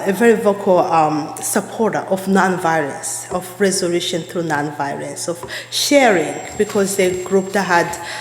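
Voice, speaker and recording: A woman from Rwanda giving an earnest sermon as a guest speaker, repeating phrases for emphasis. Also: fairly low pitch. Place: church sanctuary. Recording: echoey church hall.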